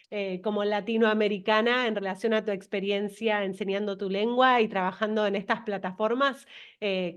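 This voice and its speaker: A woman's voice: medium pitch, highs cut off. A woman hosting an interview over a video call, affirming and encouraging her guest. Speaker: interview host.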